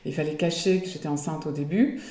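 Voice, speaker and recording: A woman's voice: low voice, fast, mellow, roomy sound. A woman in her forties chatting in an interview, hesitating often as she talks. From Belgium. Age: 40s. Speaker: guest. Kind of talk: casual interview chat.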